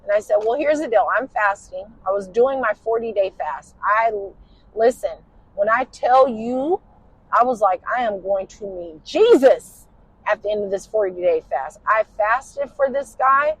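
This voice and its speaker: Tired woman with a husky smoky voice. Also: mid-range voice, lively intonation, unhurried, low-quality recording. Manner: rambling, with many "you know" fillers. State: tired, late at night. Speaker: podcast host. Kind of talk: casual storytelling. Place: inside a car while driving.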